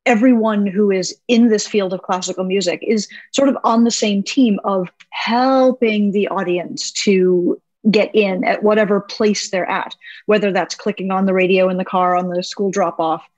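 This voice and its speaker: A woman's voice: medium pitch, fairly even intonation, normal speed, a little mellow, muffled recording. A woman hosting a music podcast, speaking enthusiastically and with emphasis to her guest and her listeners. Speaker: podcast host. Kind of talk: interview and scripted outro.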